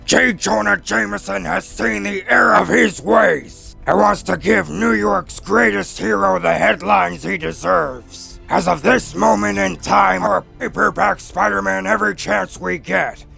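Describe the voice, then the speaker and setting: Brash, high-pitched blustering newspaper boss. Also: male voice, unhurried, gravelly, hardly any pauses, bright, forceful tone, husky, soft background music, heavily acted. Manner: brash, bombastic. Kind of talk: comic book fan dub acting.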